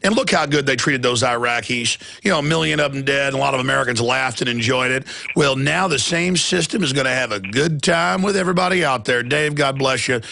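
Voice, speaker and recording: A man's voice: medium pitch, fairly quick, creaky, hardly any pauses, pushed and bright, dry recording. A man hosting a talk radio show, sarcastic and combative, taking calls.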